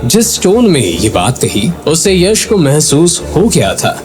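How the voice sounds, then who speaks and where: A man's voice: on the high side, big pitch swings, measured pace, over music. A man narrating an audio drama in a dramatic, scripted storytelling style.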